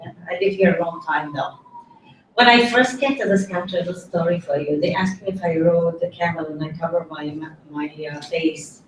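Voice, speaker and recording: A woman's voice: fairly low pitch, normal speed. A chatty woman from Syria with an Arabic accent, speaking slightly broken English as she introduces herself at a meeting. She sounds distant, picked up by a far-off camera in a very echoey rehearsal room with faint background noise. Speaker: belly dance instructor. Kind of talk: casual group introduction. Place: large rehearsal studio, indoors.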